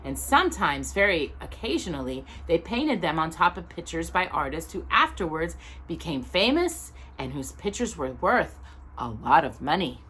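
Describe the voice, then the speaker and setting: A woman reads a children's storybook aloud as a storytime host. Her voice is bright and slightly husky, with very lively intonation and dramatic emphasis on key words. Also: deep voice, normal speed. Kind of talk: expressive children's storybook narration.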